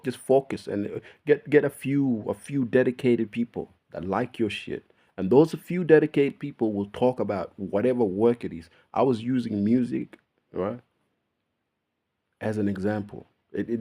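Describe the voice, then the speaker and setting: A man's voice: medium pitch, warm tone. Laid-back Melbourne Australian man. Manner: laid-back, swearing, laughing often. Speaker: YouTuber. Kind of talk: casual monologue to camera.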